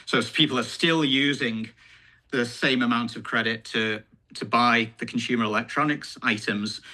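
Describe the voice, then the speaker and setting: A man's voice: mid-range voice, pushed and bright. A male company executive giving informal, unscripted answers to analysts on an earnings call.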